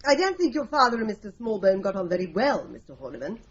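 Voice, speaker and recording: An actress playing a patient, practical longtime secretary at a law firm in a radio play. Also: female voice, medium pitch, big pitch swings, fast talker. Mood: composed. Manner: composed, practical, polite. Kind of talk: radio drama acting. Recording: dull lo-fi radio recording, faint hiss.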